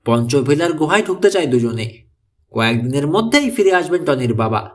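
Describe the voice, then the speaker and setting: A man's voice: on the high side, very animated, moderate pace. A man voicing a clever, bold teenage boy detective, explaining earnestly in an audiobook. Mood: earnest, reassuring. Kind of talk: audiobook character dialogue.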